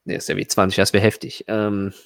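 A man running a tabletop role-playing game, improvising narration and casual rulings in a halting, hesitant way. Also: fairly low pitch, monotone, creaky, dry recording, studio quality. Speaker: game master.

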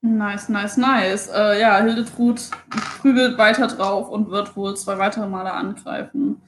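A woman's voice: mid-range voice, steady tone, a little slow, echoey room, a little hiss or hum, low-quality recording. A woman playing a tabletop game, chatting casually as she announces her moves.

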